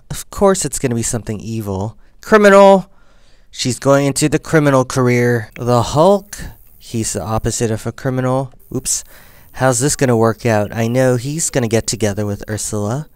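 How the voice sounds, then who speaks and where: A man's voice: medium pitch, animated, normal speed, smooth, no echo. Chatty young man narrating his gameplay. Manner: chatty, thinking aloud, counting and deciding as he plays. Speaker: gaming channel host. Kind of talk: casual let's-play commentary.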